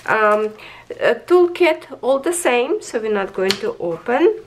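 Slow, high-voiced hoarse woman reviewer. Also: very animated, slight background noise, low-quality recording. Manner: chatty, enthusiastic, talks to viewers as friends. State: hoarse, losing her voice. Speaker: craft reviewer. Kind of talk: casual unboxing review. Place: indoors at a tabletop.

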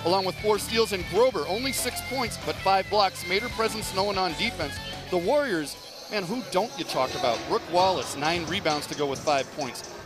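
A middle-aged man with a fairly high voice hosts a postgame basketball show from a studio desk. He speaks into a headset mic and rattles through numbers briskly. A clear music bed plays behind him. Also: rough recording. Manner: brisk, stat-listing delivery. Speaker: play-by-play host. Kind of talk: postgame broadcast wrap-up. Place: studio set.

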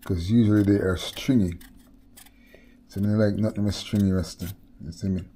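A man's voice: fairly low pitch, fairly even intonation, normal speed, mellow, a little hiss or hum. A middle-aged Jamaican man chatting casually while he cooks in his home kitchen, slipping into Patois with laid-back asides. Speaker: cooking vlogger. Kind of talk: casual cooking chat with storytelling. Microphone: head-mounted camera, hands-on cooking.

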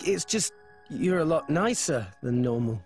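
A man's voice: fairly high pitch, lively intonation, a little slow, music in the background. A man playing an earnest, hesitant teenage boy hero in a video game cutscene. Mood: hesitant, puzzled. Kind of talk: dramatic game cutscene acting.

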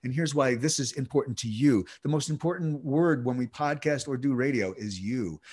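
A middle-aged man, a podcast producer, explaining his craft in a relaxed video-call interview, speaking fluently with frequent restarts and fillers. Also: mid-range voice, normal speed, slightly rough. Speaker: podcast guest, audio producer.

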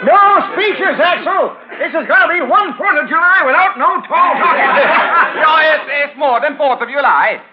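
An actor playing a jovial homesteader at a celebration in a 1950s radio drama, hearty and folksy. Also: male voice, very high-pitched, animated, moderate pace, very noisy. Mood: jovial, teasing. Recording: dull old radio broadcast, crowd behind.

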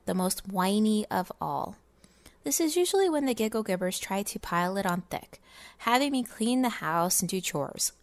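A miserly, self-satisfied businessman, acted out by a woman tells his holiday tale from a fantasy storybook. The delivery is theatrical and pompous, full of scorn, in a medium-pitched voice with a dry, close sound. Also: dry recording. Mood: sneering. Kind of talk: dramatic reading of fantasy game lore.

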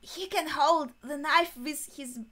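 A young woman reacts casually to a show she is watching. Her voice sits fairly high and has a bright, clear-cut edge. She speaks slowly, working things out aloud in short, halting phrases. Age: young adult. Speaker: anime reaction host. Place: small bedroom indoors.

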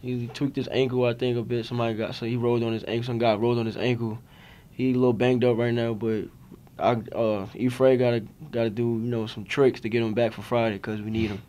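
Flat-voiced young man at a press table. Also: mid-range voice, quick pace. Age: young adult. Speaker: college basketball player. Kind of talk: post-game press conference answers. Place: press conference room. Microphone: table mics in front of him.

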